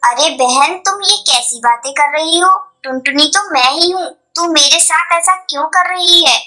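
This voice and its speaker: A woman's voice: high voice, normal speed, bright, forceful tone. A woman voicing a cunning, brazen young female bird in a cartoon story, with a sly manner. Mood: feigned innocence.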